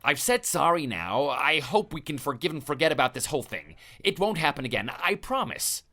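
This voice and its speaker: A man's voice: fairly high pitch, sing-song, a little fast, pushed and bright, soft background music, full character voice. A man putting on a whimpering, cowardly neighbor. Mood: grovelling. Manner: stammering, pleading, apologetic. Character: cowardly, whining male neighbor who grovels.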